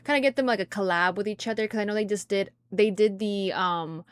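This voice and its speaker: A woman's voice: medium pitch, fairly quick, clean tone. A woman hosting a music reaction video, rambling casually and often losing her words mid-thought. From grew up speaking Spanish. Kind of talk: casual chat.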